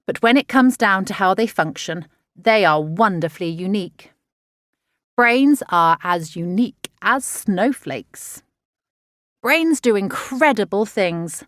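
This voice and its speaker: Slow, animated woman narrating for children. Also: medium pitch, dry recording, studio quality. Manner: clear, measured, expressive teaching delivery for children. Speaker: author narrating own audiobook. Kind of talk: scripted children's nonfiction audiobook narration.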